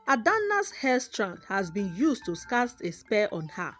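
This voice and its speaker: A woman's voice: mid-range voice, sing-song, measured pace, a bit bright. A woman voicing a grave, stern male village elder in a folktale, solemn and commanding, over music. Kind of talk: folktale character voice.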